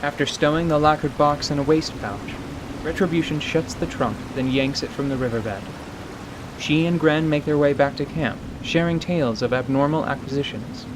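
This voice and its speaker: A man with a moderately high voice narrates a scripted fantasy adventure in radio theatre style. Steady rain is clearly audible behind the storytelling. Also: moderate pace, noisy background. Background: rain ambience.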